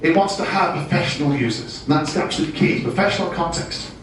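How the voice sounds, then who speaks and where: A man's voice: a little high, lively intonation, fast, slight background noise. British man presenting in an echoey hall. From United Kingdom. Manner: jokey, self-deprecating. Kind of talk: business seminar talk. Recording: very echoey room, distant mic.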